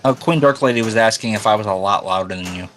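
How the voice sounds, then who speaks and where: A man co-hosting a live game stream over a voice call, naturally loud, joking in a dry way. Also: lowish voice, fast, soft background music.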